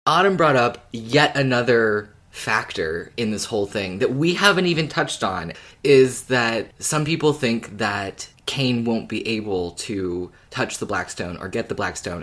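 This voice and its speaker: A podcast co-host talking casually and in a measured way, open to other views. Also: medium pitch, animated, a bit bright. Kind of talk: casual discussion chat.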